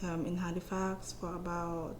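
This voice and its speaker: A woman in her twenties from Cameroon, a guest in a casual interview, speaking softly and hesitantly with many filler words. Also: on the low side, flat delivery, normal speed, echoey room, a little hiss or hum. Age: 20s.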